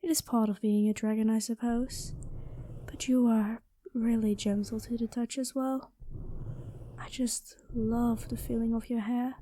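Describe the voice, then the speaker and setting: A woman voicing a gentle, lovestruck dragon queen in an ASMR roleplay, speaking softly and intimately with formal courtly phrasing, often slipping into whispers, close on the microphone. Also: fairly high pitch, a little hiss or hum, lightly acted. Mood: tender, affectionate. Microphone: close microphone, dry sound.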